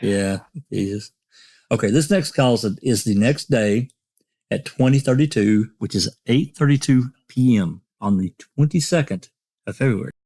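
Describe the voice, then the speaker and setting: A man hosting a casual discussion of recorded calls, speaking in a halting, conversational way. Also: medium pitch, dark tone. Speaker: host.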